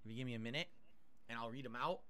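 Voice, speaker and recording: A man's voice: mid-range voice, racing, pushed and bright. A man streaming live, chatting casually as he eats and reads out viewer tips and thanks. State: mouth burned, nasal drip. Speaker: livestreamer.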